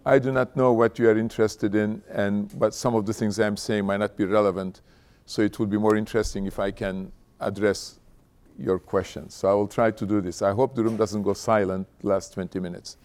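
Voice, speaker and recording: Middle-aged male guest speaker, lowish voice, conversational and halting delivery. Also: level tone, a little mellow. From Istanbul, Turkey. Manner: conversational, halting, repeats "you know". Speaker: company founder, guest speaker. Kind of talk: informal autobiographical talk to an audience.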